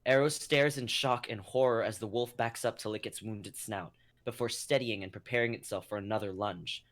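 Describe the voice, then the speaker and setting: A man's voice: on the low side, moderate pace, fairly bright tone. A young man narrating a scripted drama in the present tense as the reader of stage action. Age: young adult.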